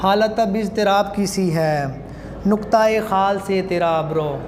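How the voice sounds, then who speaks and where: A male student reciting poetry in a measured, declamatory way. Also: high-pitched. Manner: measured, repeating lines declamatorily. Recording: very echoey hall, clear background noise.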